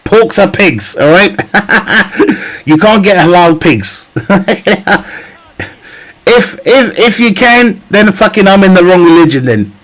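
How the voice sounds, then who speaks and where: A man's voice: sits high, lively intonation, slow, fairly bright tone. A man chatting casually with his live stream viewers, swearing a lot and laughing often at his own jokes. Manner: profane, jokey banter, laughs often. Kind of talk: casual chat with viewers while gaming.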